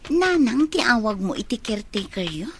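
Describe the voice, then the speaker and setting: A woman's voice: fairly high pitch, very animated, moderate pace, a little hiss or hum. A woman acting a calculating, inquisitive young village woman in a radio drama, with an Ilocano accent.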